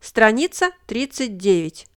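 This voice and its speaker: A woman narrating a scripted language lesson, speaking model phrases slowly and with clear enunciation. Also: fairly high pitch, big pitch swings.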